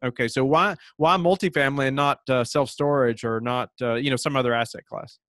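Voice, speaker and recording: A man's voice: mid-range voice, fairly quick, no room sound, studio quality. A man in his thirties hosting an interview podcast, asking questions with lots of "you know". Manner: relaxed, many fillers like "you know". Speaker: podcast host. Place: home office.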